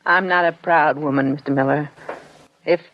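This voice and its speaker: A woman's voice: deep voice, steady tone, moderate pace, slight background noise, lightly acted. An actress playing a humble, determined mother of sixty-seven, pleading earnestly and plainly in a 1940s radio drama. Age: 60s. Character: humble, determined 67-year-old mother pleading for her son. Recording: dull lo-fi 1940s radio broadcast.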